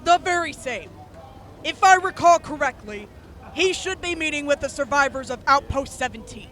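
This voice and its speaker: A woman's voice: high-pitched, expressive, moderate pace, a bit bright. A woman playing a welcoming, confident mercenary officer in an audio drama. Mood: brisk, assured.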